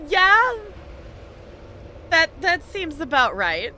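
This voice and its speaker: A woman chatting casually and animatedly on a game livestream, halting mid-thought as she goes. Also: very high voice, big pitch swings, sharp tone, slight background noise. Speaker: video game live streamer. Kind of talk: casual livestream chat over gameplay.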